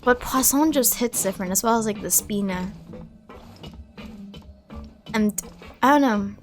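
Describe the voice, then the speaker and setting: A woman streamer giving casual, expressive gameplay commentary, thinking aloud informally. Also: medium pitch, unhurried. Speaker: gaming streamer. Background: background music.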